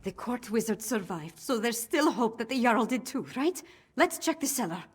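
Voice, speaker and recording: An actress playing a determined, impassioned young princess in a fantasy video game, speaking in an earnest, emphatic way. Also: female voice, a little high, lively intonation, moderate pace, slightly rough, no echo. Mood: hopeful, urgent. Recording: prominent music behind the voice.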